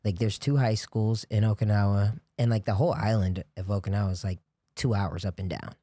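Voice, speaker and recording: A man's voice: on the low side, fairly even intonation, brisk, mellow, no echo. A young man in casual chat, telling long stories in a rambling way with many restarts and "you know, like". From grew up on US military bases in Japan. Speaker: podcast guest.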